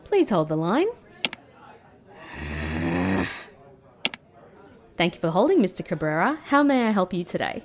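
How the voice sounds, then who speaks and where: Actress as a lively, wry ex-agent woman, roomy sound. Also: mid-range voice, fast talker, a faint crowd, lightly acted. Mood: polite, professional. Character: former woman agent turned call-centre worker, wry and composed. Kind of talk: audio drama narration and dialogue.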